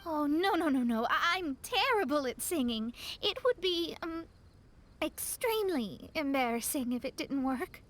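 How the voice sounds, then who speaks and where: A woman's voice: high voice, animated, measured pace. A woman playing a prim, easily flustered young woman astrologer in a video game.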